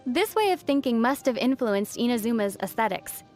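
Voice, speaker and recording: A woman playing a gentle, thoughtful young female traveler in video game dialogue, speaking in a measured, soft-spoken way. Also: on the high side, lively intonation, lightly acted. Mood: wistful, reflective. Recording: faint music under the voice. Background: faint background music.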